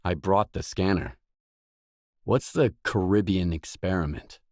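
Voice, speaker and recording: Low-voiced, blunt game hero, dry sound. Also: male voice, measured pace, darkish tone, no echo. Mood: probing, wary. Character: gritty male stalker protagonist, wary, blunt, world-weary adult. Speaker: video game protagonist. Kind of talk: acted video game dialogue lines.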